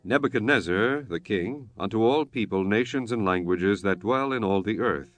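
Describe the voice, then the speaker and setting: A man narrating scripture from a script in a measured, solemn, formal manner. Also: lowish voice. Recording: music bed behind the voice.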